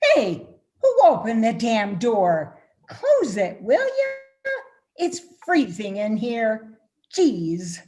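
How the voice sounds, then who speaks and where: A woman playing a young, skeptical and excitable bar patron in a radio-style play, with an exclamatory, incredulous delivery. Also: fairly high pitch, drawn-out words, background noise, full character voice. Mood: annoyed. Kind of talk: radio-play style readers theatre acting.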